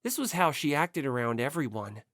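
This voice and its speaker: A woman's voice: a bass voice, big pitch swings, normal speed, a bit bright, dry recording, studio quality. A woman reading scripted first-person story narration as an audiobook narrator.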